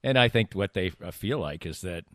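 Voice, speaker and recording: A man's voice: deep voice, expressive, fairly quick, a bit bright, dry recording, studio quality. A middle-aged man bantering casually as co-host of a talk podcast.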